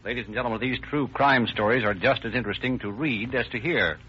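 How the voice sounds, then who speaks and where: A man's voice: mid-range voice, fast talker, slightly rough. An American man narrating and announcing in formal, polished, declamatory 1930s radio style. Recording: dull old radio recording. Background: music.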